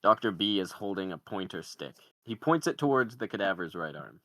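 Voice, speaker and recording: Male table-read narrator, low voice, dry close sound, delivering stage directions. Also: sing-song, a little fast, bright, forceful tone, no echo. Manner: reads out screenplay directions. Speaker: podcaster reading stage directions.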